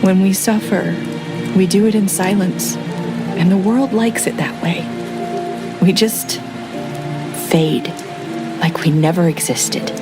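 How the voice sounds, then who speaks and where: A guilt-ridden young woman talks haltingly and pleads, with restarts. Loud music plays under her in the game audio. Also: on the low side. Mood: earnest.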